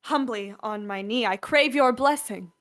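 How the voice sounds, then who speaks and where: A woman playing a sly, ingratiating royal duke who feigns humility in a Shakespearean radio play, speaking formally and deliberately. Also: on the high side, a bit bright. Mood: feigned sympathy.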